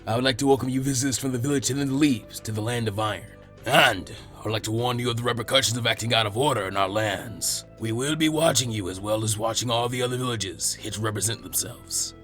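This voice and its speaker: Man putting on a low, grave elderly samurai. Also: a bit bright, soft background music, lightly acted. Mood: stern warning. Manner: measured, formal, grave. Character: stern, formal elderly male samurai leader. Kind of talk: anime fan fiction character reading.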